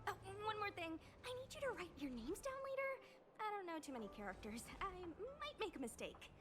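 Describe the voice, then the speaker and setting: An eager, scatterbrained young knight girl from a video game. Her voice sits high and she talks in a chatty, informal, emphatic way. Light music plays behind her. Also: very animated, a little fast. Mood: cheerful.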